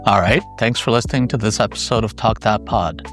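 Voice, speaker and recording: A man's voice: fairly low pitch, brisk, fairly bright tone, soft background music. A man speaking as a podcast host, in a presenter's style. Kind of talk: interviewing and scripted sign-off.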